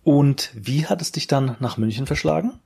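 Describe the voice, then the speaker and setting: A man's voice: medium pitch, normal speed, creaky, darkish tone, breathy edge. A male podcast host reading a formal introduction and asking polite interview questions.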